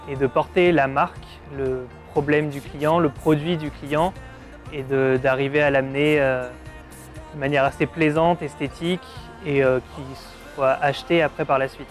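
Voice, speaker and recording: A man in his late twenties from Paris speaks in a casual interview style. His voice sits a little high for a man. He talks reflectively, often searching for the next word, with faint music underneath. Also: fairly even intonation, normal speed. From Paris, France. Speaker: advertising art director being interviewed. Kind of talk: casual on-camera testimonial.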